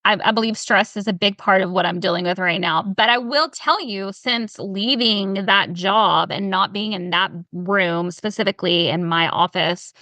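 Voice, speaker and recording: A woman with a Southern US drawl speaks with a bright, forceful edge over a dry, close sound. She talks through health topics in a casual, animated way, often piling up rhetorical questions. Her pitch sits in a middling range. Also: normal speed, hardly any pauses, no room sound, poor audio. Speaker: health professional guest. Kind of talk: casual podcast conversation.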